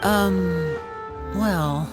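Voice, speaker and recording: A woman voicing a polite, friendly teenage boy in a video game, courteous and expressive. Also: fairly low pitch, extremely slow, music in the background. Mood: deferential.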